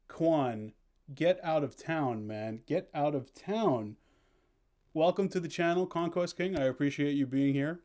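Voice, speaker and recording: A man's voice: a little high, a little mellow. A man hosting a casual game stream, chatting playfully with viewers and often interrupting himself. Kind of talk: casual live stream chat. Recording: clearly noisy background.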